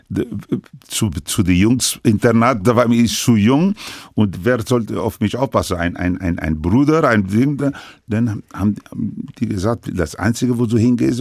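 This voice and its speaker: Older male interviewee, French first language, deep husky voice, dry close sound, halting delivery. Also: darkish tone, no room sound. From Lebanon. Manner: halting, with many restarts and broken grammar. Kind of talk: recounting his life story.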